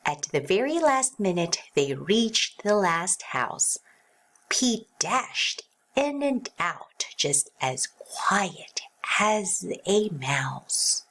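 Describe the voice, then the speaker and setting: A woman reading a rhyming children's storybook aloud as narrator, in an expressive sing-song way. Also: mid-range voice, extremely slow.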